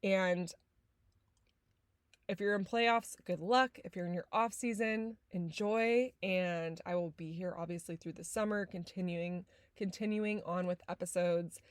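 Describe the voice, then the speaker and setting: A woman's voice: fairly low pitch, steady tone, a little slow, no echo. A woman from San Diego hosting a podcast, talking casually with lots of fillers, a little nasal and congested. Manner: chatty, many fillers like "like". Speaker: podcast host. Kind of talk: casual podcast intro and chat.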